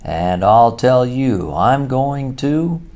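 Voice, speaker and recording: A man voicing an excitable, boastful male toad in a storybook reading. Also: a little slow, vocal fry, exaggerated voice. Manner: brash, boastful, rising to shrill.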